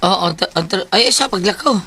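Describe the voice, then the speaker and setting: An insistent, teasing Filipina in a radio drama, with a Bisaya accent. Her voice is medium-pitched and sharply bright as she coaxes and repeats herself. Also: expressive, slightly rough, dry recording, exaggerated voice. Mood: coaxing, insistent. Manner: hurried repetition, coaxing.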